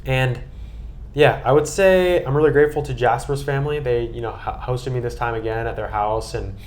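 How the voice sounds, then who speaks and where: A man's voice: medium pitch, brisk, a little mellow. An American man chatting casually and earnestly on a podcast, with an enthusiastic manner. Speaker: podcast guest and co-host recapping a trip.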